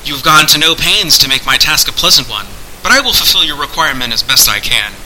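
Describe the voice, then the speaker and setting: Man playing an earnest, bright-voiced formal adventurer. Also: fairly high pitch, lively intonation, a little hiss or hum, voice pushed up, rough recording. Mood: rueful. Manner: formal, measured archaic speech. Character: earnest male adventurer, formal, wary and wry.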